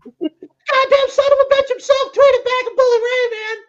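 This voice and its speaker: Man, New York accent, high voice, lively pitch, loud swearing rant, slightly dull lo-fi sound. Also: normal speed. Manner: loud, animated, swearing, mocking impressions. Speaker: podcast co-host. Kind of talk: profane wrestling podcast rant.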